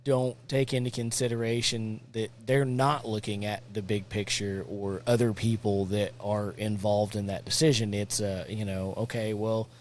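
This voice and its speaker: A man's voice: lowish voice, level tone, moderate pace, few pauses, darkish tone. A man hosting a casual podcast, encouraging his guest and rambling through his thoughts. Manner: encouraging, rambling, restarts often. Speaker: podcast host. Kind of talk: casual conversation.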